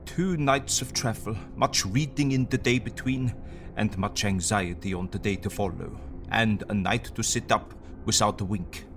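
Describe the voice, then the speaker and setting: A man's voice: lowish voice, normal speed, noisy background, lightly acted. An actor playing an eccentric, kindly older professor in an audio drama, speaking in a solemn, fatherly manner and foreign-sounding syntax. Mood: weary, confident.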